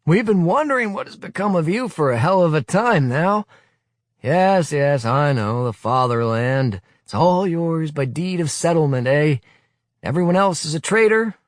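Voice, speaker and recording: A man's voice: on the high side, lively intonation, normal speed, dry recording, studio quality, voice pushed up, close to an everyday voice. A man voicing a blunt former soldier who mocks and growls at a pompous leader. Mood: scornful. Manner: mocking, gruff.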